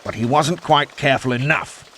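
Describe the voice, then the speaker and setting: An actor playing a genial upper-class British wing commander in a video game, with a plummy British accent and jovial, courteous military slang. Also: male voice, medium pitch, normal speed, sharp tone, a little hiss or hum. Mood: cheerful, conspiratorial. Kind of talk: video game cutscene acting.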